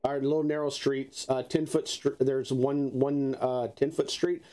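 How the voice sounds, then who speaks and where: A man running a tabletop game as game master, narrating off the cuff in a halting, conversational way. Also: mid-range voice, level tone, dark tone.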